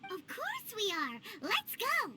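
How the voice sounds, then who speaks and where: Tiny chirpy fairy companion, very high, wildly swooping pitch, excitable. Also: female voice, slow, background noise, full character voice. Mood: excited. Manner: excitable, nosy, speaks of herself in third person. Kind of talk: game voice acting. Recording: game audio with faint music.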